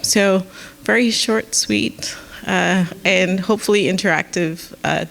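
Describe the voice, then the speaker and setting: A woman with a Saint Lucian accent, a professor leading an informal workshop in a relaxed, chatty way. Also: medium pitch, unhurried, vocal fry. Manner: relaxed, chatty, inviting questions.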